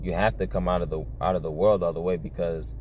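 A young man with a fairly low voice preaches informally, as if chatting to his viewers. He is earnest and circles back over his points with frequent "you know". The sound is a phone recording inside a car, with faint noise behind him. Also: steady tone, fast, a little mellow. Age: young adult. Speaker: religious video blogger. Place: inside a parked car at night.

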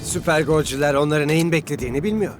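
A man playing an arrogant, scornful rival footballer who taunts others in an animated series dub. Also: fairly high pitch, fast talker, soft background music, close to an everyday voice.

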